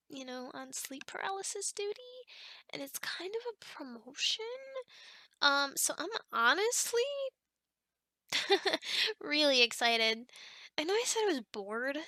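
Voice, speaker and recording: A woman's voice: high-pitched, gravelly, bright, forceful tone, dry recording, exaggerated voice. A woman playing a chatty, fussy sleep paralysis demon in an ASMR roleplay, rambling casually and criticizing playfully straight to the listener. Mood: chatty, upbeat.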